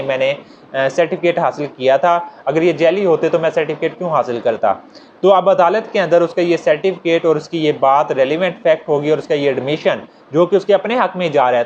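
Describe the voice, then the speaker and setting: A man with a Pakistani accent lectures in a conversational, explanatory way, with a pitch a little above average for a man. He repeats key points as he goes. The recording is dull and lo-fi, with faint noise behind him. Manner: conversational, acting out hypothetical examples, repeating points. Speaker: law lecturer. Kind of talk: informal explanatory law lecture. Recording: dull lo-fi audio with noticeable noise.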